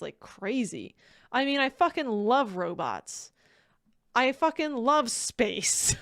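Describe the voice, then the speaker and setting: A woman with a slightly high voice, recorded close and dry, reacts as a streamer. She talks casually and expressively, gushing and swearing freely. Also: a bit bright, dry recording.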